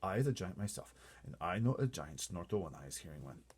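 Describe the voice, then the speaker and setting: A man voicing a gentle, calm giant in a storybook readaloud, speaking unhurriedly in quaint, muddled grammar. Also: sits low, slightly rough, heavily acted. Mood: calm, assured. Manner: hushed, unhurried, quaintly ungrammatical.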